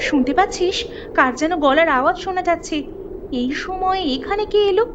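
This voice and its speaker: A woman's voice: extremely high pitch, a little fast, dull audio, background noise. A woman voicing two young village sisters in a cartoon, speaking urgently, earnestly and with care. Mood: curious. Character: young village girl sisters, caring and brisk. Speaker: voice actor. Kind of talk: cartoon voice acting.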